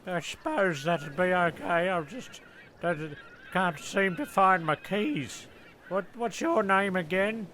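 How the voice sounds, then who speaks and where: A man's voice: high voice, lively intonation, takes it slowly, bright, forceful tone, a little hiss or hum, heavily acted. A man voicing a confused, forgetful old man who speaks hesitantly in a comic audio play. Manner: hesitant, repeating words. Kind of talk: comedic audio play acting.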